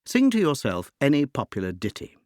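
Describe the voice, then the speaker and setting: A man's voice: medium pitch, huge pitch swings, a little fast, a little mellow, no echo, studio quality. A man reading a scripted educational introduction to music as narrator, articulate and expository, with rhetorical turns of phrase.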